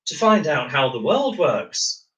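A man's voice: fairly high pitch, sing-song, fairly bright tone, recorded from a distance, voice pushed up, low-quality recording. A man voicing a curious, mischievous small boy from a storybook, speaking in a hesitant, sheepish way. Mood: sheepish, unsure. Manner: hesitant, halting.

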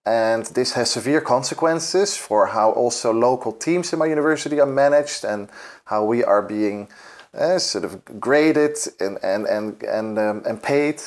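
A man's voice: medium pitch, measured pace. A middle-aged man answering interview questions in a thoughtful, hedging way with many fillers. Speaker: event participant interviewed.

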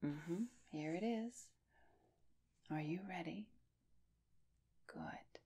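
A woman in her thirties speaking as a hypnotherapist in a soothing ASMR guided hypnosis, soft and breathy, often slipping into a whisper, close to the mic. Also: fairly low pitch, drawn-out words. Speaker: hypnotherapist, host. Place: small dim indoor room, close up. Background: soft music.

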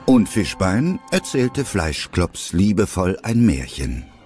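A male narrator reads a scripted story for an audio drama. His voice is fairly deep and very expressive, with soft music underneath. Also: takes it slowly.

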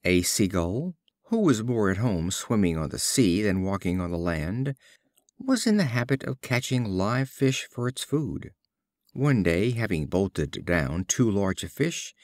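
Male audiobook reader for fables: unhurried, animated intonation, expressive storytelling. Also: medium pitch, no room sound, studio quality. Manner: measured, expressive storytelling delivery. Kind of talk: scripted storybook narration of fables.

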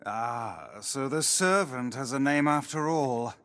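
A man's voice: medium pitch, slow, fairly bright tone. A man voicing a pompous, haughty talking magic book in a video game, with sardonic, old-fashioned grand diction. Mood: haughty, dry.

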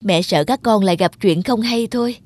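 A woman's voice: mid-range voice, brisk, darkish tone, no room sound, studio quality, close to an everyday voice. A woman voicing a caring, cautious mother teapot in a cartoon, motherly and instructive. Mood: gently cautioning. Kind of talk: cartoon character acting.

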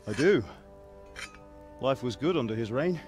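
A middle-aged village smith and father played by a male actor in a cinematic game. He speaks firmly and with measured weight, stern and principled, over faint music. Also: mid-range voice, animated, darkish tone, natural voice. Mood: reflective. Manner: measured, firm, admonishing. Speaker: village swordsmith.

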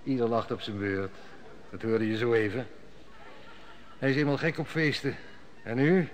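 A man's voice: medium pitch, moderate pace, slight background noise, lightly acted. A man playing a cynical, mocking court steward in a radio play, who drinks too much and schemes at court. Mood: sardonic. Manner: sardonic, mocking. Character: sly cynical male royal steward, heavy drinker. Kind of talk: 1960s radio drama acting.

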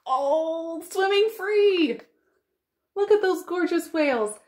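A woman in her thirties, a children's librarian, reads a picture book aloud and chats to young listeners with expressive wonder and drawn-out emphasis. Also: very high-pitched, slight background noise. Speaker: children's librarian hosting storytime. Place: living room at home, indoors.

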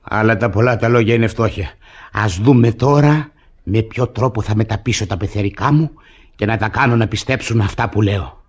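An actor playing an exasperated, humiliated peasant husband in a classic radio comedy, grumbling and indignant in a theatrical way, with a husky voice. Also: male voice, fairly low pitch. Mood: rueful, scheming.

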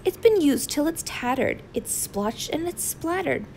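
Exasperated storybook mother, read by a woman, high swooping emphasis, drawn-out scolding delivery. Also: lively intonation, a little mellow, a little hiss or hum, low-quality recording.

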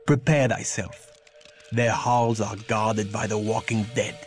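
An actor playing a grave, stern medieval vampire warrior and mentor, speaking in solemn archaic phrases for a video game. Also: male voice, on the low side, steady tone, unhurried, music in the background, close to an everyday voice. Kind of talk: theatrical video game acting.

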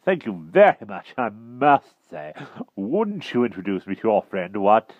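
A man voicing a flustered, excitable, old-fashioned gentleman king in a storybook, politely exclaiming with posh verbal tics. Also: fairly low pitch, measured pace, dry recording, phone-quality sound, pitched above the performer's own voice. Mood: polite, chatty.

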